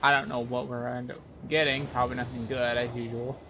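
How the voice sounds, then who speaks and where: A man's voice: mid-range voice, level tone, quick pace, sharp tone, slight background noise. A man doing casual live game commentary, thinking aloud in a hesitant, wry way. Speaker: game streamer.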